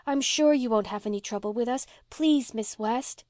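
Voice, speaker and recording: An earnest little girl voiced by a woman audiobook reader, high-pitched and sweetly anxious. She pleads in a breathless, halting way, as in an old-fashioned storybook. The sound is dry and close. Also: darkish tone, no room sound, close to an everyday voice. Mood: pleading. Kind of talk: audiobook character voice.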